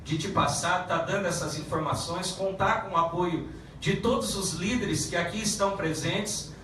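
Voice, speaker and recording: A man who is a federal deputy giving a halting public speech at a meeting, with many restarts and fillers. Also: sits high, sharp tone, slight background noise. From Paraná, Brazil. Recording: echoey room from far off.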